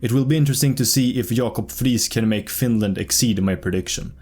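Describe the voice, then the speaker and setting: A man delivers scripted football commentary over faint background music. His pitch sits mid-range, his pace stays measured, and dry, wry asides run through the script. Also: darkish tone, slightly rough. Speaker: football predictions channel host.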